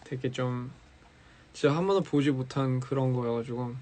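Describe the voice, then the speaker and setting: A young man with a raspy, hoarse voice, chatting casually as a musician on a live stream and switching between English and Korean. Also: mid-range voice, rapid-fire, warm tone, slight background noise. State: hoarse after a recording session.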